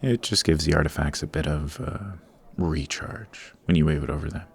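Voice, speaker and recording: A man playing a suave, formal supervillain boss in an ASMR roleplay, patient and exacting, with measured, instructive speech. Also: rumbling low, creaky. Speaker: voice actor.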